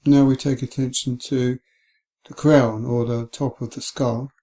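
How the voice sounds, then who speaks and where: Slow, mellow man guiding meditation. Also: mid-range voice. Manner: gentle, calm, unhurried with long pauses. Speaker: meditation guide. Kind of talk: guided body scan meditation.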